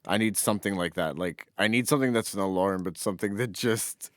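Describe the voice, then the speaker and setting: A man's voice: lowish voice, brisk, dry recording, clean studio sound. A man co-hosting a podcast, chatting casually with joking, sweary banter. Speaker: podcast co-host.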